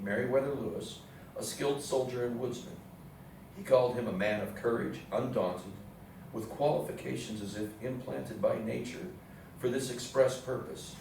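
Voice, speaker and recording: A man's voice: mid-range voice, normal speed, gravelly, a little mellow. A man delivering scripted historical narration as the narrator of a live stage reading. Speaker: narrator in a readers theatre play. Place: live readers theatre performance in an echoey indoor space. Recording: very echoey room, distant mic, faint noise.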